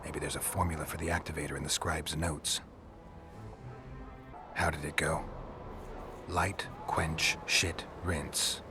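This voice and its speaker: An actor playing a gruff, sardonic monster hunter in a video game, with a deadpan, gravelly delivery. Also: male voice, rumbling low, measured pace, long pauses. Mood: muttering to himself. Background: music.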